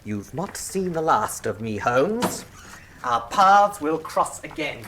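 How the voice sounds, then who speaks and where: An actor playing an arrogant, blackmailing British army colonel in a radio drama, brusque, contemptuous and threatening. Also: male voice, a little high, big pitch swings, slow, background noise, exaggerated voice. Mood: menacing.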